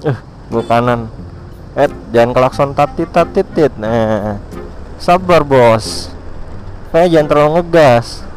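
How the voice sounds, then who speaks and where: A man's voice: medium pitch, slow, long pauses, darkish tone, faint music behind, low-quality recording. A young man chatting and joking to himself while riding a motorcycle through city traffic. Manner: chatty, joking, slangy, teasing other riders. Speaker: motovlogger. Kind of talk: casual riding commentary.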